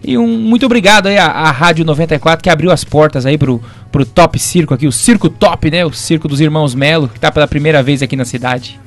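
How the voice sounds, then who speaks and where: High-voiced southern Brazilian man. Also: fast, few pauses, faint music behind. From Rio Grande do Sul, southern Brazil. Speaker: guest, circus clown and show producer. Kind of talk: casual radio interview. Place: radio studio.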